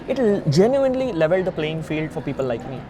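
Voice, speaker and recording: A man's voice: sing-song, fast talker, crowd noise. A man from India with an Indian accent, a founder being interviewed, explaining emphatically step by step in earnest. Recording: noisy outdoor ambience with traffic rumble.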